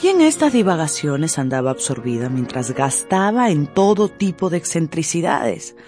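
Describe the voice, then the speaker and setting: A narrator telling a radio drama story in an expressive, theatrical way with drawn-out emphasis. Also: mid-range voice.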